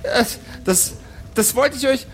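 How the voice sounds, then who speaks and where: A man's voice: very high voice, takes it slowly, creaky, background noise, pitched above the performer's own voice, full character voice. A man voicing a flustered, startled woman in an improvised tabletop audio play, stammering and repeating words. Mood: flustered, defensive.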